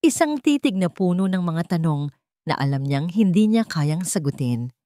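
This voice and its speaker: A woman's voice: lowish voice, warm tone, no echo, clean studio sound. A woman with a Filipino accent reading a scripted romance story as a dramatic narrator.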